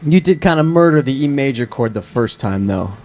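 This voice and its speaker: A man reading the part of a calm, teasing young man and close friend at a live screenplay table read. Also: mid-range voice, fairly quick, lightly acted.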